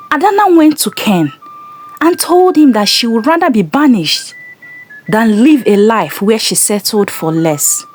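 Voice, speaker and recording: Female storyteller for scripted folktale narration: unhurried, measured, highly varied intonation. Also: darkish tone, slight background noise.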